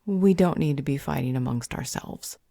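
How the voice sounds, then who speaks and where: A woman's voice: sits low, normal speed, creaky, dark tone, no room sound. A woman playing a level-headed, wry ally in a fantasy roleplay audio drama, dry and composed.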